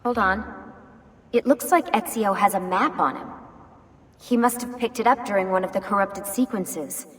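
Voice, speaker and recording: Actress as a brisk technician, matter-of-fact, some long reverb. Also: female voice, medium pitch, fairly bright tone, lightly acted. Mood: businesslike. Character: female tech operator, brisk and practical. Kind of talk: video game dialogue.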